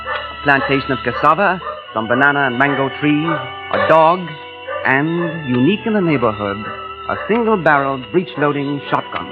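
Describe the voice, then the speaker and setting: A reserved, politely clipped explorer played by a man tells his tale in a measured way. The sound is muffled like a vintage radio play, with music clearly heard under the voice. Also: medium pitch, lightly acted. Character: weary feverish male explorer, polite and reserved. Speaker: narrator and lead in radio drama. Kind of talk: 1940s radio acting.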